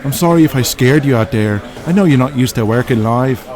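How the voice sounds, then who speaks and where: A man voicing an earnest, apologetic wrestler in an audio drama, pleading excitedly. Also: medium pitch, brisk, crowd noise, pitched above the performer's own voice, lightly acted. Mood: flustered, apologetic. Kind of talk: audio drama character acting.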